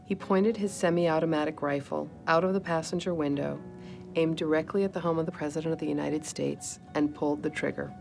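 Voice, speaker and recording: A woman with a very low voice and plenty of vocal fry speaks as a reporter in a documentary. Music plays clearly behind her account. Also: steady tone, fairly quick. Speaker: investigative newspaper reporter. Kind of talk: documentary interview and narration.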